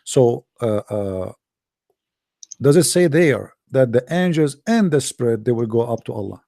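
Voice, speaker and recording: A man's voice: medium pitch, animated, measured pace, gravelly, warm tone, no room sound, studio quality. A man with an Arabic accent, a native Arabic speaker, pressing his points in a heated debate and repeating himself when interrupted.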